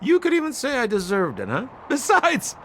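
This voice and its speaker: A flamboyant game rogue with a high, swooping voice. He is glib and wheedling, a sly treasure hunter working his charm, with some noise behind him. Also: wildly swooping pitch, moderate pace, fairly bright tone, full character voice. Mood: wounded, theatrical. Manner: theatrical, ingratiating, glib. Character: flamboyant, sly, wheedling young male treasure-hunting con man. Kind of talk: video game voice acting.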